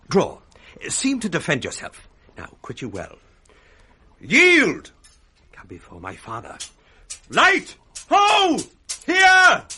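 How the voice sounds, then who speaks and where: Husky, scheming young British villain. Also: male voice, high voice, bright, forceful tone, full character voice. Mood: feigned alarm. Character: scheming young male villain, false and urgent brother. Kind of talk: 1960s British radio Shakespeare acting.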